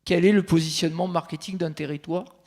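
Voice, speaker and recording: A man speaking as a consultant on a conference panel, measured and thinking aloud, with frequent fillers and restarts. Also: fairly high pitch, steady tone, darkish tone.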